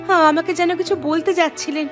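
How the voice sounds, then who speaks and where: A woman playing a humble, kind, poor village woman in an animated moral story, speaking in an earnest, gentle way. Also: very high-pitched, quick pace, soft background music. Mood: concerned.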